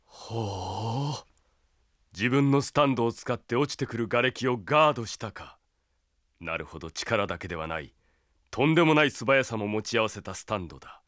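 An actor playing a cold, arrogant young villain in a Japanese anime audio drama, theatrical and condescending. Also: male voice, mid-range voice, lively intonation, moderate pace, a bit bright, no echo, clean studio sound, natural voice. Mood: gloating, sneering. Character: cold, arrogant young male villain serving an evil master. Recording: dramatic music behind the voice.

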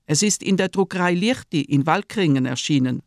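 A smug, blunt, pious nurse of massive build in a radio drama. The voice sits fairly high and is recorded very dry and close. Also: male voice, animated, a little fast, no room sound. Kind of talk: German radio drama acting.

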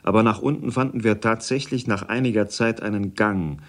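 A man's voice: on the low side, fairly quick, no room sound. A man reading literary prose for a radio feature. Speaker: literary reader.

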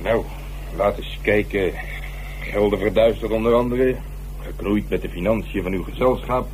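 Low, dark-voiced sardonic police inspector played by a man. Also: fairly even intonation, normal speed, close to an everyday voice. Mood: mocking, official. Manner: wry, mocking, colloquial. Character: sardonic, cynical, hard-boiled male police inspector nicknamed Draak. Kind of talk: radio drama acting. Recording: humming, noisy radio play recording.

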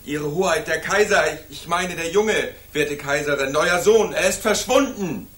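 A man acts a frantic court servant in a radio drama. His fairly high voice halts and stammers with urgency in a very echoey space. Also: moderate pace, natural voice. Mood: panicked. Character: agitated, stammering servant bearing bad news. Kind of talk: German historical radio play acting. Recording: echoey room, faint noise.

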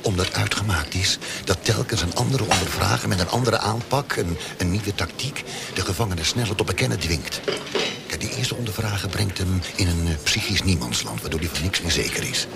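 An actor playing a dutiful, ambitious and defensive lieutenant in a radio drama, with a gravelly voice and an earnest, pedantic manner. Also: male voice, low-pitched, brisk, loud music behind the voice. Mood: earnest, lecturing.

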